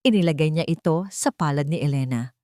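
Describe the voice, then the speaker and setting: A woman's voice: sits low, brisk, mellow, dry recording, studio quality. A woman reading a scripted dramatic story as its narrator.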